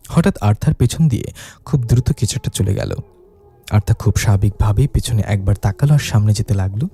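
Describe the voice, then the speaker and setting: A man's voice: mid-range voice, dark tone, breathy edge, no echo. A man narrating a ghost story in a dramatized storytelling style.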